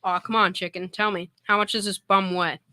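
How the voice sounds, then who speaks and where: Swaggering radio comedy boyfriend woman performer: boastful, slangy, rapid, bright delivery. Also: medium pitch, flat delivery, a little hiss or hum, close to an everyday voice. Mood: cocky, boastful. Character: cocky, boastful tough-guy boyfriend Al. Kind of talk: 1940s radio comedy acting.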